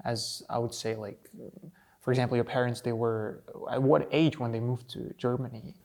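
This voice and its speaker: A male co-host with a voice of middling pitch, speaking quietly in a casual podcast. He asks gentle follow-up questions and often trails off mid-thought. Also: fairly even intonation, normal speed. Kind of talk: casual conversation.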